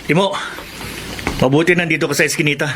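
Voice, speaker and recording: A man's voice: a little high, steady tone, quick pace, heavy background noise, lightly acted. An actor in a radio drama playing a young man who is a scheming troublemaker. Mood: sly. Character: scheming, cocky young male, chuckling troublemaker.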